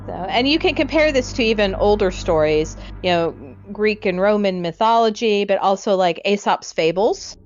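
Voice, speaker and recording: A woman's voice: mid-range voice, lively intonation, moderate pace, hardly any pauses, darkish tone. A woman co-hosting a casual discussion, thinking aloud in loose, trailing lists. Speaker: podcast host. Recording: dull lo-fi audio, music behind.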